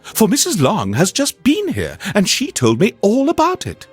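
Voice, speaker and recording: A man voicing a fussy, excitable middle-aged wife in a theatrical, gushing storybook reading. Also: high voice, moderate pace, breathy edge, soft background music, voice pushed up, exaggerated voice. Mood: gossipy, eager. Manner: theatrical, fluttery, exclamatory. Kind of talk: storybook reading in a game radio show.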